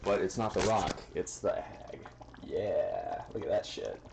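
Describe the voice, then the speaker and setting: A man's voice: deep voice, very animated, unhurried, creaky, noisy background. A man chatting loosely to his stream chat while he cooks in his kitchen, swearing now and then and counting numbers aloud. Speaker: streamer cooking on a livestream. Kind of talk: casual live cooking chat. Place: home kitchen, indoors. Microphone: handheld phone camera.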